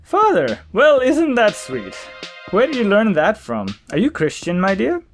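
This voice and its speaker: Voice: medium pitch, huge pitch swings, measured pace, soft background music. A performer voicing a friendly, effusive, slightly flustered priest in an audiobook drama. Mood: delighted.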